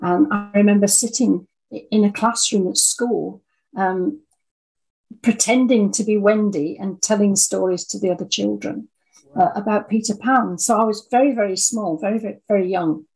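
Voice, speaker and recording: A woman's voice: medium pitch, steady tone, normal speed, gravelly, a little mellow, husky, faint music behind, poor audio. An older woman from the English Midlands, with an English accent, talking conversationally as an interview guest and telling stories. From Birmingham, English Midlands. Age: 60s or older. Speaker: interview guest, audio drama writer.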